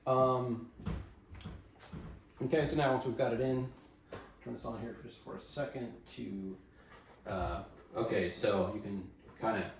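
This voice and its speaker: A man giving a casual how-to demonstration on audio setup for dance classes, relaxed and rambling with blunt opinions. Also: medium pitch, unhurried, gravelly, long pauses, a little hiss or hum. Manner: relaxed, rambling, many fillers and restarts. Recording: echoey room.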